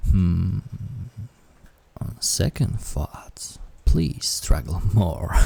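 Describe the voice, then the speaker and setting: A man playing an incubus demon in an intimate roleplay audio, with a husky, breathy voice that often drops toward a whisper. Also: sits low, warm tone, exaggerated voice. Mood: menacing, teasing. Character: incubus sleep paralysis demon, menacing turned awkwardly tender.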